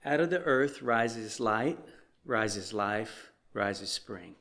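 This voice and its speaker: A man giving a measured, solemn liturgical reading as a lay reader at a worship service. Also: on the low side, animated, fairly bright tone. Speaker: worship reader lighting the chalice. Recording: echoey, roomy sound.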